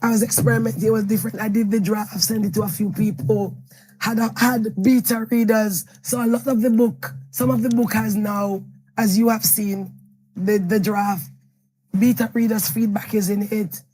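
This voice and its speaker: Hoarse Jamaican woman author. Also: medium pitch, fairly even intonation, moderate pace, mellow, poor audio. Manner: rambling, self-correcting storytelling. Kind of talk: interview answer at a book event.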